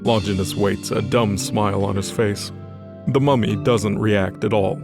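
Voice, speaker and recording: A man's voice: fairly low pitch, steady tone, unhurried, gravelly, over music. A man narrating scripted dramatic fiction as the storyteller of an audio drama.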